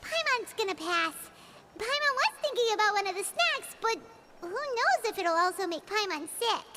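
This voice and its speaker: A woman's voice: very high-pitched, big pitch swings, moderate pace, very noisy. A woman playing a tiny, cute, chatty fairy companion in an anime-style game, chirpy and childlike. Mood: hesitant. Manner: chirpy, speaks of herself in third person.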